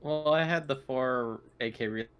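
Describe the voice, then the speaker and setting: A man's voice: mid-range voice, measured pace, fairly bright tone, smooth. A man playing a tabletop game, talking casually and in a directive way as he explains rules to others.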